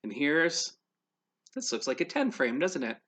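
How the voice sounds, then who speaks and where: Guy reading a kids story out loud, slow n really animated, kinda higher voice, quizzes listeners. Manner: pauses to quiz young listeners. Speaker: read-aloud storyteller for children. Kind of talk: expressive storybook narration.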